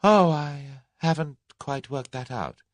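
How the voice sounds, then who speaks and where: A man's voice: fairly high pitch, takes it slowly, no room sound, pitched above the performer's own voice. A man voicing a plucky, proud young English woman in an audiobook, earnest and exclamatory. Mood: guarded, flustered. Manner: earnest, exclamatory, self-scolding. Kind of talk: audiobook character voice.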